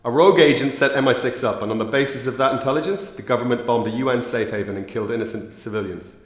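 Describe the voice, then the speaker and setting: A man's voice: mid-range voice, fast talker, natural voice. A man playing a brutal, threatening secret agent interrogator in a radio drama, with a sneering manner. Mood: coldly menacing. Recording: echoey room with long reverb.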